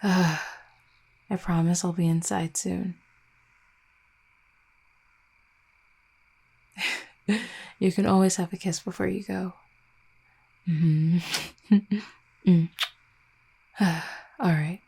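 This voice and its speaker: A young vampire girlfriend, played by a woman, speaks softly and hesitantly in an intimate audio roleplay. Her low voice is full of gravelly fry, dark and mellow, with little melodic movement. She sounds tender and a little anxious. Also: full character voice. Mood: affectionate, teasing. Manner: hesitant, halting, soft-spoken.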